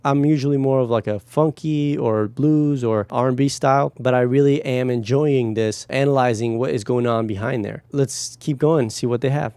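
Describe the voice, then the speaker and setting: A man's voice: mid-range voice, normal speed, hardly any pauses, a little mellow, clear, resonant tone, no echo. A man from Guatemala, a music producer, talking casually as he reacts to songs and explains production techniques, humming beats along. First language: Spanish.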